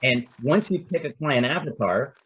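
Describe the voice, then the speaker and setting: This is a middle-aged man teaching a seminar, with a somewhat husky, breathy voice at a mid pitch. He talks in a loose, conversational way, restarting phrases and checking in with "right?" as he goes. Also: expressive, moderate pace, a bit bright, low-quality recording. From Canada. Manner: conversational, repeats words and restarts, tags "right?". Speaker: investment coach. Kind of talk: seminar talk.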